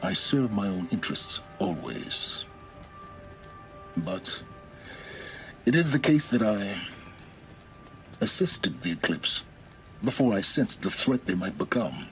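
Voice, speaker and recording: An actor playing a secretive, self-serving middle-aged man who guides with measured, cryptic authority in a video game. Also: on the low side, big pitch swings, fairly bright tone, heavily acted, rough recording. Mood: guarded, imperious. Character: secretive, commanding male informant serving his own interests. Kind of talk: dramatic video game acting. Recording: music behind the voice.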